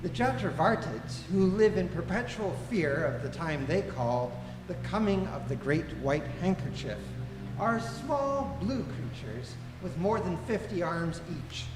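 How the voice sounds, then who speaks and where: Echoey radio play narrator, measured man performing. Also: high-pitched, expressive, music in the background. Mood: wry, grand. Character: narrator of a comic science-fiction guidebook radio play. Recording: echoey hall, clear background noise.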